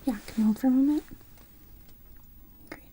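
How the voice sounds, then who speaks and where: Woman doing asmr whispers, soft polite phone-desk voice, super mellow, faint hiss behind. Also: sits high, normal speed. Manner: soft, mostly unvoiced whisper. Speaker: ASMR roleplay host as hospital receptionist. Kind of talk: ASMR roleplay.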